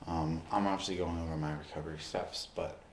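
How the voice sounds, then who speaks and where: A man speaking in an ASMR roleplay, addressing the listener directly in short, unhurried phrases with pauses. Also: low voice, steady tone, creaky, reverberant, slight background noise. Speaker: ASMR performer playing a basketball recovery expert.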